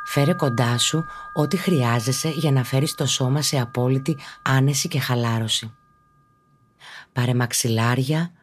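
Husky low-key woman guiding meditation. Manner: calm, measured, instructional. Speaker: yoga and meditation teacher, podcast host. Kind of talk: guided relaxation meditation narration.

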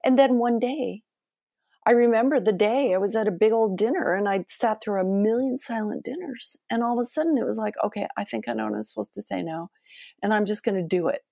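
A woman with a soft voice, a guest telling animated stories in a casual interview. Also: medium pitch, a little fast, vocal fry, no room sound, clean studio sound.

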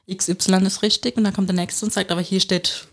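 A woman's voice: medium pitch, flat delivery, a little fast. A woman with a Palatinate German accent, co-hosting a casual podcast about the Bible and finishing her co-host's sentences. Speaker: podcast co-host.

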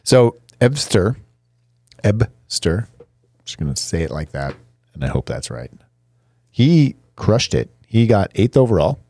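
A man co-hosting a podcast, joking and trading quick banter in casual chat. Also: fairly low pitch, sing-song, warm tone, dry recording. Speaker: podcast co-host.

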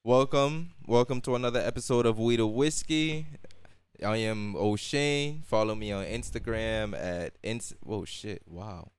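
Laid-back, foul-mouthed young podcast host. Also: male voice, on the low side, unhurried, clean tone, dry recording. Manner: laid-back, profane, joking. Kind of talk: casual podcast chat.

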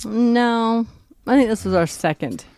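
A woman co-hosting a casual chat, backing up her partner's stories and gently correcting his details. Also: on the high side, unhurried, dry recording. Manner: supportive sidekick, backs up and corrects his stories. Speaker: podcast co-host.

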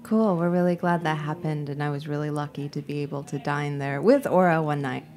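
A woman's voice: sits low, fairly even intonation, a little fast, a little mellow. A woman co-hosting a casual food talk show, upbeat and chatty. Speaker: podcast co-host. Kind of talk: casual chat.

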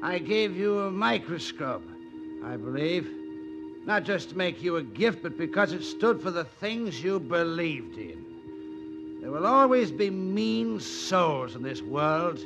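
An actor playing a blunt, sardonic, idealistic surgeon in the manner of a 1940s radio drama. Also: male voice, fairly high pitch, very animated, slow pace, over music, exaggerated voice. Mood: bitter, reproachful. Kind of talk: 1940s radio play acting.

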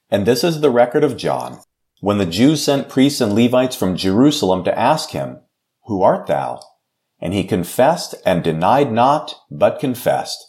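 A man, a pastor, giving a devotional and reading scripture aloud in a slow, measured way. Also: lowish voice, darkish tone. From United States.

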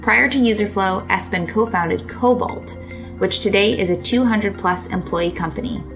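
A woman hosting a business podcast, reading a smooth scripted intro and then interviewing a guest. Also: mid-range voice, moderate pace, over music. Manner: upbeat, smooth scripted delivery. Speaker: podcast host.

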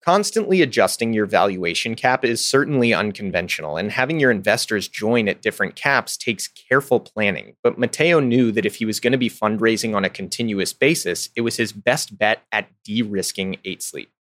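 A man reading scripted narration for a business podcast in a polished, even delivery. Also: lowish voice, fairly quick, hardly any pauses, no echo, clean studio sound.

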